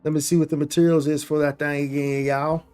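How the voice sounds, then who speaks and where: A man's voice: fairly high pitch, level tone, quick pace, warm tone. A man doing casual live gameplay commentary, thinking aloud in slangy, repetitive phrases. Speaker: game livestreamer. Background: faint game music.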